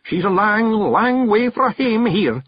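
A man's voice: sits high, big pitch swings, slow, breathy edge, dry recording, muffled recording, pitched above the performer's own voice, exaggerated voice. A man voicing a young, nervous male pictsie seer with a Scottish accent, earnest and anxious. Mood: pleading.

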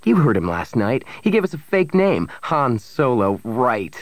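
A man's voice: medium pitch, very animated, unhurried, no room sound, pitched above the performer's own voice. A man voicing a hot-headed, suspicious grown son, agitated and insistent, in a story.

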